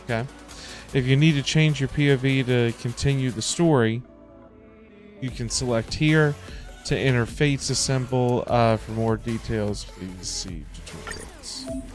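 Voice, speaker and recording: A man's voice: mid-range voice, measured pace. A man chatting casually as a livestream host, exclamatory and effusive with his viewers. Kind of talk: casual livestream chat and game commentary. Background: background music.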